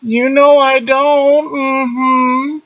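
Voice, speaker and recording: A man playing a smug, boastful internet ranter who claims to read minds, taunting the listener in a mocking sing-song and tagging lines with drawn-out "mm-hmm"s. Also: very high voice, level tone, fairly bright tone, dull audio, exaggerated voice. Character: smug boastful male internet ranter, self-proclaimed mind-reader. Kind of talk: mocking satirical monologue.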